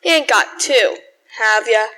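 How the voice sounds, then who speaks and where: A woman's voice: on the high side, measured pace, bright, forceful tone. A woman acting as a grudging young neighbor boy in a radio drama, giving short, folksy, sulky replies. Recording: roomy hall with long reverb.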